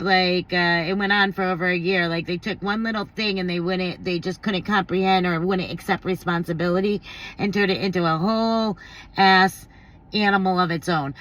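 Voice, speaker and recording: A woman's voice: mid-range voice, a bit bright, low-quality recording. A woman giving casual opinion commentary, thinking aloud in a blunt, informal, slangy way. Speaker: commentary vlogger.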